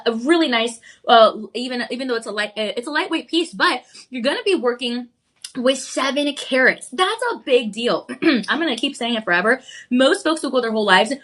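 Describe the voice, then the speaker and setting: Woman, shopping channel host, slightly high voice, congested, chatty live sales pitch, a cough now and then. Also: pushed and bright. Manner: chatty, upbeat, reads viewer comments aloud.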